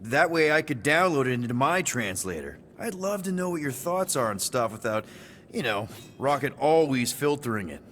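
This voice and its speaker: A man's voice: medium pitch, sing-song, normal speed, a bit bright. An easygoing crew leader from a space video game. His voice sits in a middle range and he talks in friendly, rambling slang, with faint noise behind him. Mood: curious, awkward. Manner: casual, rambling, boyish slang.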